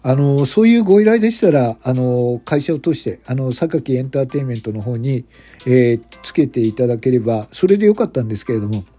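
A man's voice: mid-range voice, lively intonation, brisk, mellow, husky. A man playing a polite, modest male comedian with a hesitant manner in an amateur radio drama. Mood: polite, deferential. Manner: deferential, hesitant. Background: background music.

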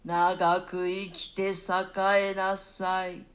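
Woman voicing a drowned duke's grim ghost. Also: level tone. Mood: blessing. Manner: slow, low, deliberate delivery. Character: ghost of a drowned male duke, grim and cursing. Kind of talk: radio play reading.

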